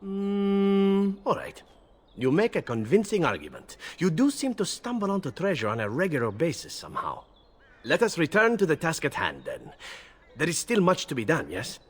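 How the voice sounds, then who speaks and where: Husky, suave assassin. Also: male voice, fairly high pitch, wildly swooping pitch, moderate pace, soft background music. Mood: reflective. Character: suave male rogue assassin, cool, wry, courteous. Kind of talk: video game voice acting.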